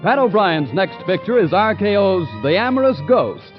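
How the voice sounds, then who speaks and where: A 1940s radio announcer, a man with a high, lively voice and a polished pitchman's delivery. Music plays clearly under him, and the old broadcast sound is muffled. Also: moderate pace. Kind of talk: radio commercial read.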